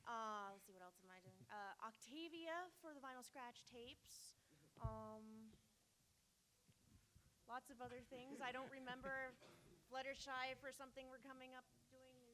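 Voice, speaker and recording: Female panelist, high register, hesitant casual intro, faint hiss. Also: fairly even intonation, a little slow, bright, forceful tone, rough recording. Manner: hesitant, searching for what to say. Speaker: voice actor. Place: convention panel room.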